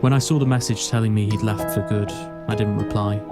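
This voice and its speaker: A young man playing a wry, melancholy student in a reflective film voice-over, deadpan and introspective, with music underneath. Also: on the low side, a little fast, lightly acted. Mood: self-mocking. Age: young adult. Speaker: actor narrating as the lead character.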